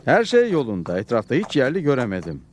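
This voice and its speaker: An actor voicing a helpful, composed cowboy hero in a dubbed cartoon, polite, reassuring and decisive. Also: male voice, medium pitch, wildly swooping pitch, fast talker, darkish tone. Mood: easygoing.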